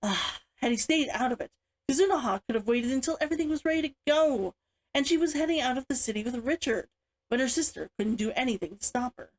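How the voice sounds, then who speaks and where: A woman with a chirpy, expressive manner, speaking as an author hosting her own story read-along. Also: high-pitched, fast, rough recording. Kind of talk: animated chat and story read-aloud.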